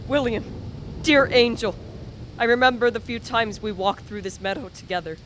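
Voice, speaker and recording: A woman's voice: on the high side, moderate pace, a bit bright, slight background noise, lightly acted. A woman playing an anguished, guilt-ridden young man in a radio play, earnest and formally dramatic. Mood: sombre.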